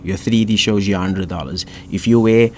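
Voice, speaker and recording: A man with a fairly low voice talks in an emphatic, repetitive coaching style, punching points with "boom". A light hiss of background noise sits under the recording. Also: normal speed, darkish tone. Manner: emphatic, repeats phrases, says "boom". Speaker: manifestation coach, co-host. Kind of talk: casual coaching chat.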